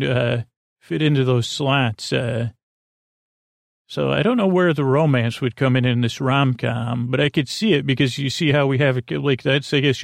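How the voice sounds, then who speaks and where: A middle-aged man with a creaky voice hosting a bedtime podcast, rambling in a silly, halting way and drifting off mid-thought. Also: mid-range voice, no echo, studio quality. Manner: meandering, halting, repeats and trails off mid-thought; silly, whimsical. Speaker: podcast host.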